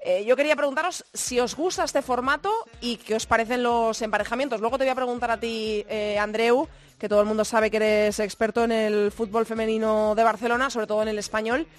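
A woman's voice: a little high, fairly even intonation, a little fast, hardly any pauses. A woman with an accent from Spain, hosting a sports talk show in a casual, chatty style. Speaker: radio show host.

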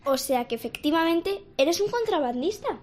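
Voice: extremely high pitch, animated, moderate pace. A performer playing an earnest, bold girl of about ten in a radio drama. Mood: accusing.

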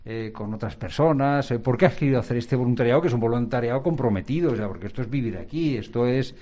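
A male reporter with a mellow, warm tone conducts an on-location interview. He asks probing questions in a chatty, relaxed way. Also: medium pitch, fast.

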